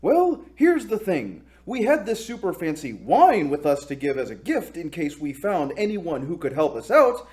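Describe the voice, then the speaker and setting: A man's voice: fairly high pitch, huge pitch swings, a little mellow, pitched above the performer's own voice. A man voicing a wily, chatty hero who feigns meek fright and innocence in a comic story skit. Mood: mock timid. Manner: halting, mock-innocent, exaggerated politeness.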